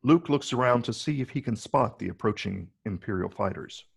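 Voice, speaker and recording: Gravelly, deep-voiced male script narrator. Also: animated, moderate pace. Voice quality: gravelly, husky. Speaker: narrator reading stage directions.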